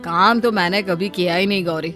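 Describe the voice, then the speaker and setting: A woman's voice: lowish voice, steady tone, brisk, a bit bright, soft background music, lightly acted. A woman voicing an idle, pampered young husband in a cartoon story, in a placating, evasive manner.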